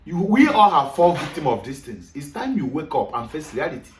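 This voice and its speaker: A man's voice: fairly high pitch, big pitch swings, fairly quick, far from the mic. Emphatic Nigerian man lecturing in an echoey room. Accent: Nigerian, Igbo. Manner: emphatic, lecturing, mixes English and Igbo. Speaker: speaker on culture. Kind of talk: lecture-style talk to a group.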